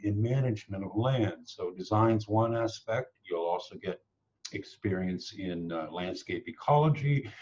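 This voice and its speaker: A middle-aged American man, an associate professor, explaining a course to camera in a small office in a measured, thoughtful way with frequent pauses. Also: fairly low pitch, a little mellow, breathy edge, highs cut off. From United States. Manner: measured, thoughtful, pausing often with uh and okay. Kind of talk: course promotion talk to camera.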